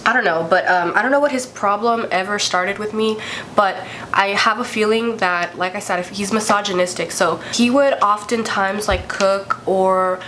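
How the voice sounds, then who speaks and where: A woman's voice: mid-range voice, moderate pace, a bit bright, a little hiss or hum, low-quality recording. A young woman vlogger with a congested, stuffy voice, chatting candidly and swearing freely as she tells a story. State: congested from a cold. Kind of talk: casual storytime vlog.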